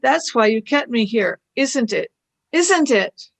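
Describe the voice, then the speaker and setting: Brief: woman playing a worried young girl companion, high voice, slow delivery, radio play. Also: lively intonation, creaky, exaggerated voice. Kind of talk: amateur radio play acting.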